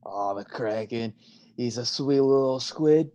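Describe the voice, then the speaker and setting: A man's voice: medium pitch, a little slow, warm tone, close to an everyday voice. A man playing a laid-back, teasing young sea god with surfer slang in a staged play reading. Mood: breezy, defiant.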